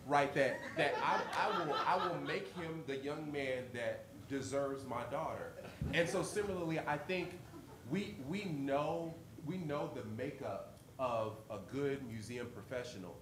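A man's voice: fairly high pitch, expressive, measured pace. Playful man panelist, echoey room. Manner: playful, repeats words when starting. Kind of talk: conference panel talk. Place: conference session room. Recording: roomy session recording with noise.

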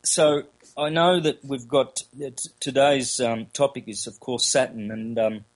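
A man with an Australian accent, first language Calabrian, speaking as an interview guest, halting with many fillers and restarts. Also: mid-range voice, fairly even intonation. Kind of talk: casual interview talk.

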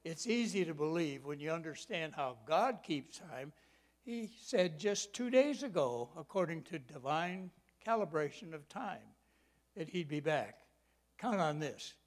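Older man preaching with slow emphasis. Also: a little high, very animated. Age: 80s. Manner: emphatic, stretches key words slowly, drops to quiet asides; folksy personal storytelling. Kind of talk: sermon.

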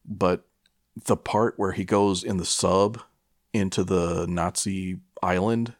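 A middle-aged American man with a low voice, recorded dry and close. He chats casually as a podcast co-host, wandering through his stories with stops, restarts and plenty of swearing. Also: steady tone, dry recording. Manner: profane, rambling, halting. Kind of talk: casual chat, rambling storytelling.

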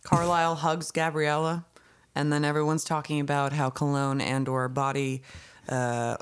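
A woman's voice: low-pitched, flat delivery, moderate pace, smooth. A young woman co-hosting a casual recap podcast, blunt and sarcastic, swearing freely. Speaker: podcast co-host.

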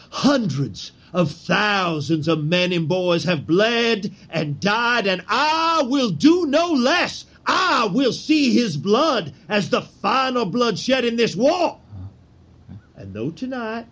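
An actor playing a zealous conspirator leader in a historical drama, speaking in grand, declamatory rhetoric. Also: male voice, sits high, fairly bright tone, slightly rough, full character voice.

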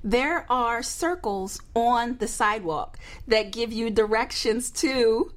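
A woman's voice: a little high, slow pace. A woman speaking as a marketing expert on a talk show, emphatic and playful, repeating words to drive points home. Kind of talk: lively talk show discussion.

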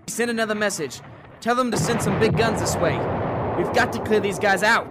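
A man playing a commanding American army officer in a World War II radio drama, giving terse, gruff orders. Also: high-pitched, brisk, heavy background noise, lightly acted. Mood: urgent, harried.

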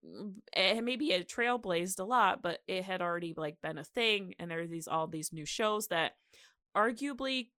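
A woman with a slightly low voice co-hosts a podcast. She talks casually and conversationally, and the recording is close and dry. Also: animated, normal speed, fairly bright tone, no echo. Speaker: podcast co-host. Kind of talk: casual podcast chat with some scripted history.

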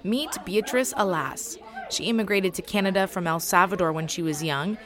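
A woman's voice: on the low side, moderate pace, fairly bright tone. A woman narrating as a podcast host from a script.

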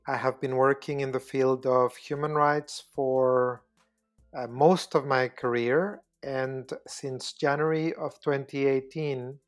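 A man in his fifties from Costa Rica, answering interview questions in a measured, deliberate way with frequent pauses. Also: mid-range voice. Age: 50s. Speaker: guest, UN human rights expert.

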